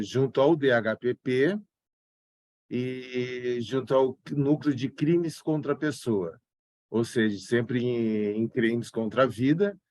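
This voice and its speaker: A man in his fifties from São Paulo with a slight gaúcho accent, a forensic expert guest recovering from a cold and talking in a relaxed, rambling way. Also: medium pitch, no room sound, studio quality. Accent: slight southern Brazilian gaúcho. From São Paulo, Brazil. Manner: relaxed, rambling, with frequent restarts. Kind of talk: casual interview talk.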